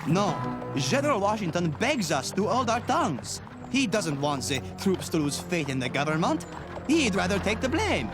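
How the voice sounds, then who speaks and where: A gallant young marquis with a bright, high voice that rises and falls freely. He speaks in a courtly, dramatic way, in a cartoon with music behind him. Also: sing-song, normal speed. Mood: solemn. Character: young male French nobleman general, earnest. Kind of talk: cartoon voice acting.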